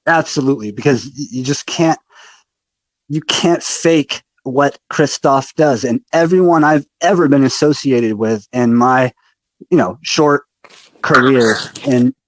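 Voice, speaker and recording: A man's voice: mid-range voice, measured pace, no room sound, low-quality recording. Podcast host guy, eager and chatty, mid voice, dry close sound. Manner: enthusiastic, affirming interjections. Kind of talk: podcast interview.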